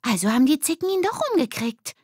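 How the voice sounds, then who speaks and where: A woman voicing spirited schoolgirls from a children's audiobook, animated and teasing. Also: high-pitched, fast, breathy edge, no echo, clean studio sound, voice pushed up, full character voice. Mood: indignant. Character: spirited, cheeky schoolgirls in a gang.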